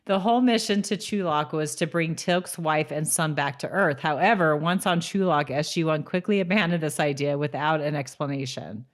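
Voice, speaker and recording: A woman with a distinctly low voice and an American accent, co-hosting a rewatch podcast. Her delivery is informal and conversational, the way someone talks over a show with a friend. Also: moderate pace, hardly any pauses. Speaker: podcast co-host. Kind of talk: casual TV rewatch podcast chat.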